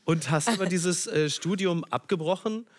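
A man hosting a talk show, chatty and quick to laugh along. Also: high-pitched. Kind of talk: casual chat.

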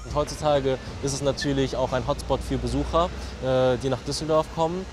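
A man's voice: medium pitch, steady tone, a little fast, over music. A man in his thirties from Germany, a ceramic artist, speaking in a TV interview, hesitant with many fillers and restarts. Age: 30s.

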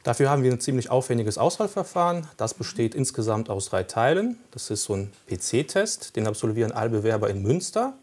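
A man's voice: medium pitch, big pitch swings, fairly quick, hardly any pauses, darkish tone. A man whose first language is Russian, working in police recruitment, answering interview questions factually with frequent hesitations. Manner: factual, explaining with frequent fillers. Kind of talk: studio interview guest.

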